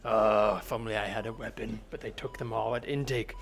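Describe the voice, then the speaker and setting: A man playing a menacing captive machine from the future in an improvised comedy sketch, speaking in halting, ominous declarations. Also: fairly low pitch, fairly even intonation, gravelly, pitched below the performer's own voice. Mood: conspiratorial.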